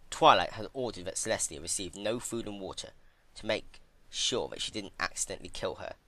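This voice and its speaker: A man narrates a piece of dark fan fiction as a dramatic reading. His voice sits fairly low but has a forceful, bright edge, and the pitch moves in lively swings.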